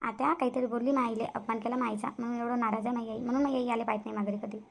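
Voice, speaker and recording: A woman's voice: fairly high pitch, steady tone, racing, gravelly. A woman voicing an earnest, easily hurt young daughter-in-law in a cartoon family drama, with a Varhadi Marathi accent. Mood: aggrieved. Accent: Varhadi (Vidarbha) Marathi.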